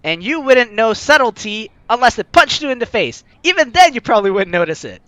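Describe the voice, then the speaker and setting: Animated, bright-voiced gaming host. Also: mid-range voice, fairly quick, no room sound. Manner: animated, playful, slangy. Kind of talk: lively let's play, reading aloud and reacting. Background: game music.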